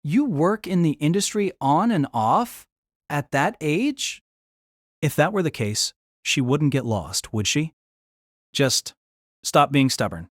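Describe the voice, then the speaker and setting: A man's voice: on the high side, very animated, takes it slowly, dry recording, studio quality, full character voice. An actor playing a wry, exasperated young man, delivering wordy first-person story narration and dialogue in a deliberating, self-conscious way.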